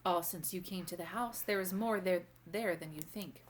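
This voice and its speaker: A woman voicing a kindly, wise old grandmother in a storybook, gently and formally giving instruction. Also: on the low side, normal speed, lightly acted. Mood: calm. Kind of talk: storybook read-aloud character voice.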